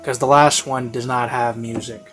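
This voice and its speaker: A man's voice: medium pitch, level tone, normal speed, fairly bright tone. A man giving a casual, unscripted review in a rambling, hesitant way, often interrupting himself. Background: classical instrumental music.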